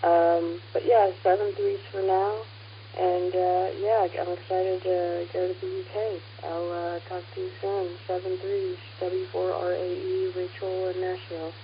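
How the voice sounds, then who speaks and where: A woman's voice: lowish voice, little pitch movement, measured pace. A woman chatting casually and a little tentatively as an amateur radio operator over a radio contact. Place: radio transmission. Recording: muffled radio link with faint hiss.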